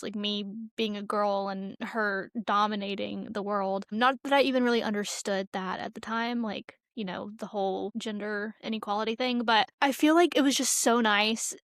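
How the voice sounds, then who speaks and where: A young American woman with a fairly high voice talks casually, like a co-host on a podcast. She tells stories in a chatty, informal way, and the sound is close and dry. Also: no echo. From Tennessee, USA. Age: young adult.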